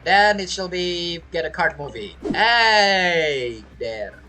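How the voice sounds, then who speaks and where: A gaming streamer giving casual, halting gameplay commentary, often muttering to themselves. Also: medium pitch, expressive, bright, forceful tone, faint music behind.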